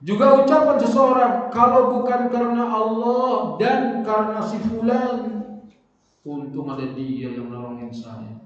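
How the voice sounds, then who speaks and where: A man preaching a religious lesson, lively, moving between loud stresses and quiet asides as he acts out everyday quotes. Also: very high-pitched, measured pace. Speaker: Islamic preacher. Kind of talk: sermon-style religious lesson. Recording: echoey hall from far off, dull lo-fi.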